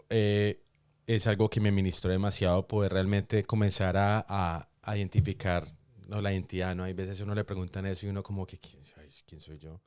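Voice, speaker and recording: A man giving a personal testimony in conversation, speaking reflectively with halting pauses. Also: low voice, a little mellow, clear, resonant tone, clean studio sound. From Colombia, raised in New York from age four. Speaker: podcast guest. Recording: roomy echo, faint music behind.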